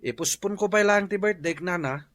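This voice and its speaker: A man's voice: high-pitched, moderate pace, a bit bright, dry recording. A man playing an exasperated, thrifty village husband in a comedic radio drama, scolding and exclamatory, with an Ilocano accent. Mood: resigned.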